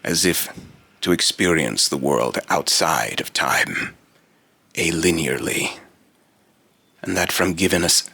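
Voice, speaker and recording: A man voicing a solemn, otherworldly immortal being in a deep, raspy robotic voice, with grandiose, archaic, halting phrasing in a dramatic monologue. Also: animated, sharp tone, no echo, full character voice. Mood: solemn, instructive.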